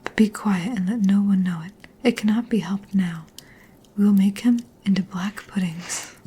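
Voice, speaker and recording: A woman storyteller voicing a wicked, scheming fairy-tale stepmother in a breathy, coaxing whisper. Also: mid-range voice, fairly even intonation, moderate pace, dark tone. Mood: urgent, conspiring.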